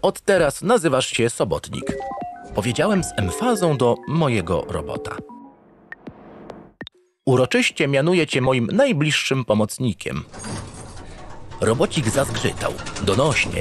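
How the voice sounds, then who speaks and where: A naive, pompous starship captain, telling his own story in a comic sci-fi radio play. His delivery is theatrical and earnest, with extremely swooping intonation at a middle male pitch. Music plays behind him. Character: naive, self-satisfied, doting male spaceship captain. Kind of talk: comic first-person radio drama narration.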